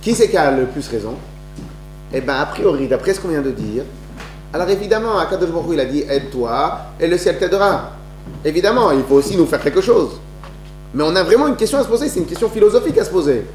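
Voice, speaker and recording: Conférencier, voix un peu haute, français, salle réverbérante avec léger bourdonnement. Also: male voice, a little high, quick pace. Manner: lively storytelling, many restarts and rhetorical questions. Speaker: lecturer. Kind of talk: religious talk to an audience. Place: lecture hall. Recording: echoey room with faint hum.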